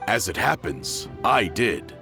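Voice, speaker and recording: A man's voice: fairly low pitch, sing-song, takes it slowly, gravelly, bright, forceful tone, faint music behind, heavily acted. A man voicing a helpful, matter-of-fact townsman craftsman in a video game. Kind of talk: English video game voice acting.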